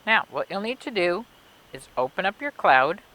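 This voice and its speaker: Woman, children's librarian, bright tone, animated pitch, simple clear phrasing, storytime delivery. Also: moderate pace, poor audio. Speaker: children's librarian reading a story and demonstrating a craft. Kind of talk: read-aloud storytelling and craft instructions for children.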